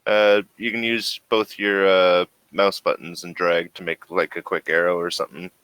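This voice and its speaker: A man's voice: deep voice, level tone, moderate pace, fairly bright tone, no room sound, low-quality recording. A man running a tabletop roleplaying game as game master, narrating scenes and giving rulings.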